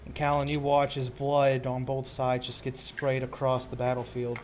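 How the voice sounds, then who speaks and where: A man's voice: medium pitch, monotone, normal speed, darkish tone. A man acting as game master, narrating a tabletop roleplaying fight in vivid, gory detail and breaking off for rules talk.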